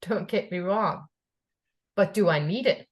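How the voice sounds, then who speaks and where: A woman's voice: medium pitch, a little slow. A middle-aged woman from Denmark hosting a podcast, talking in a reflective, casual way and often checking in with "yeah?". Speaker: podcast host. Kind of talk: casual conversation.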